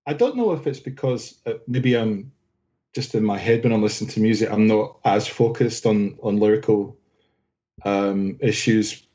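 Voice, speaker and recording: A man co-hosting a podcast, chatting casually about music in a rambling, animated way with lots of fillers. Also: fairly low pitch, normal speed, dull audio. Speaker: podcast co-host. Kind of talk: casual album discussion.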